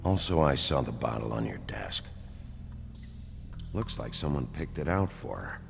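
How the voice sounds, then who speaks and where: An actor playing a gruff, terse, world-weary detective in a video game, with a gravelly voice and a dry, blunt manner. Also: male voice, sing-song, a little slow, in a large hall, background noise, heavily acted. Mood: dry, focused. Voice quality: very deep, gravelly. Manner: terse, low-key, dry.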